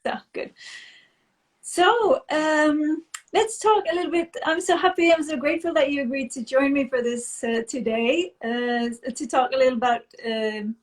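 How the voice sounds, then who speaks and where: A woman's voice: high voice, normal speed. A woman yoga instructor hosting a casual live stream and video call, upbeat, laughing often, with fillers and restarts.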